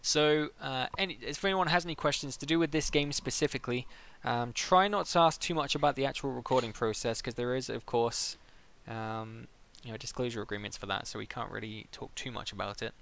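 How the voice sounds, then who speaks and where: Creaky-voiced man hosting a casual interview. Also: medium pitch, brisk, few pauses, fairly bright tone. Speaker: interviewer, live stream host.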